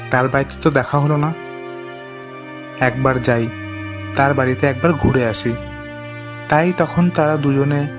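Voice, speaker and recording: A man narrating a scripted moral tale for a cartoon. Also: medium pitch. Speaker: story narrator. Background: music.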